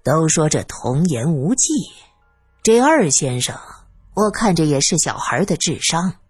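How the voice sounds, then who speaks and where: A woman narrator voices a calm, wry man in an audiobook drama. He sounds dry and measured, on the low side, with a slightly husky grain. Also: no echo, soft background music, exaggerated voice. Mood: dry, curious. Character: wry, unruffled man, dryly amused observer.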